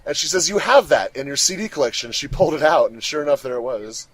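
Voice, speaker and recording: Man with lively, swinging intonation. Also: a little high, fast, poor audio. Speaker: fandub cast member on a commentary track. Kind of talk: casual group commentary while watching an episode.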